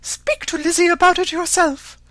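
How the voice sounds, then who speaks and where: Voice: extremely high pitch, level tone, moderate pace, a bit bright, no room sound, pitched above the performer's own voice. A narrator voicing an agitated, demanding middle-aged mother in an audiobook, exclamatory and pleading. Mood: agitated, insistent. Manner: exclamatory, pleading, self-pitying.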